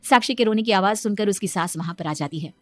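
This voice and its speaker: Female storyteller: scripted narration, mid-range voice, soft music underneath. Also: mid-range voice, quick pace, no room sound.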